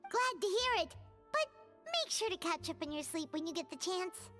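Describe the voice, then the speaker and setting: Tiny game fairy, extremely high voice, bouncy intonation, chirpy, curious, childlike, exclamatory. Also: female voice, very animated, moderate pace, sharp tone, faint music behind, heavily acted. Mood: curious, cheerful. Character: small childlike floating fairy companion, female, chirpy and curious. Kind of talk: video game voice acting.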